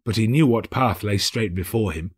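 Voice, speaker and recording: A man narrating a classic story as an audiobook, with theatrical, measured storytelling. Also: lowish voice, breathy edge. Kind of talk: expressive literary narration.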